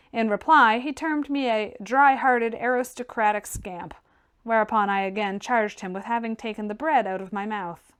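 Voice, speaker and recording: A woman narrating a classic novel as an audiobook reader, in an expressive literary style. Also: fairly high pitch, clean tone.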